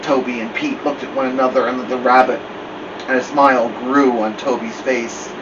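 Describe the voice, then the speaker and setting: A man reading a children's chapter book aloud in an expressive storytelling style. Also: medium pitch, moderate pace. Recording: dull, distant mic, steady air-conditioning-like noise.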